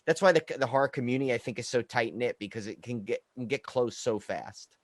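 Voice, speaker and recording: A man with a medium-pitched voice hosts a casual podcast chat. He sounds friendly and agreeable, circling back over his words, in a dry recording. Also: fairly quick, dry recording. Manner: friendly, agreeable, repeats himself. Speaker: podcast host.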